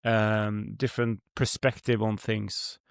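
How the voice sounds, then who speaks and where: A man's voice: lowish voice, flat delivery, slow pace, vocal fry, smooth, no echo, studio quality. A young man from Norway with a Norwegian accent, hosting a podcast and musing in a rambling, reflective way with many fillers. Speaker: podcast host. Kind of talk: casual podcast conversation.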